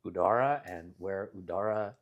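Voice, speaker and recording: A man's voice: sits low, normal speed, lo-fi sound. A man hosting an interview podcast who asks friendly, rambling questions full of fillers. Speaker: podcast host. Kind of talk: casual interview chat.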